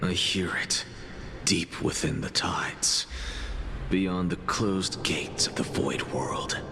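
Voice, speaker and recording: A man's voice: deep voice, creaky, background noise, exaggerated voice. A man voicing a weary, brooding wanderer in a video game, recounting things in an introspective, dramatic first person. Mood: brooding, grave. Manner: slow, introspective. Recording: music under the voice.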